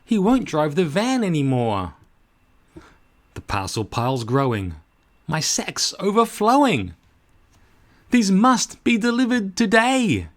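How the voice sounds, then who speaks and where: Man putting on a flustered, high-pitched bossy postmistress. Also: very animated, slow, pauses often, heavily acted. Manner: exclaiming, dramatic. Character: flustered bossy woman post office manager, loud and pleading. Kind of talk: read-aloud children's picture book.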